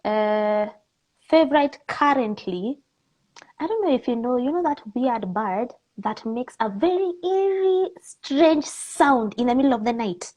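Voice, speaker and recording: A woman with a Kenyan accent talking casually as an interview guest, candid, expressive and informal in how she puts things. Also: on the high side, a little slow.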